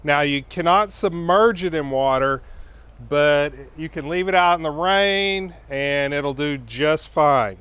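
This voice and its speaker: A man narrating a product review as its host, explaining things in a measured, methodical way. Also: a little high, fairly bright tone, clear, resonant tone.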